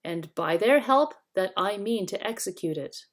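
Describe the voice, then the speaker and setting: A woman's voice: mid-range voice, expressive, moderate pace, natural voice. A woman voicing a bitter, quarrelsome male dwarf rebel with a scornful manner, in a storybook reading. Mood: sneering.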